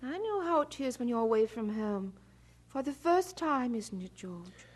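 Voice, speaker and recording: An actress playing a kindly, solicitous married woman in an audio drama, with a husky, breathy voice and gentle, motherly manner. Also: a little high, very animated, normal speed.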